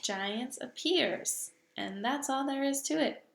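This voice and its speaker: A woman voicing a very old, kindly male giant who talks slowly and loudly with jumbled grammar, in an echoey room. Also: vocal fry, heavily acted. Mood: explaining. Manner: slow booming delivery with jumbled grammar.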